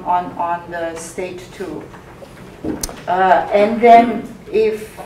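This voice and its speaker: A woman in the audience of a lecture room asking a halting question, recorded from a distance. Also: mid-range voice. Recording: distant, echoey room, faint noise.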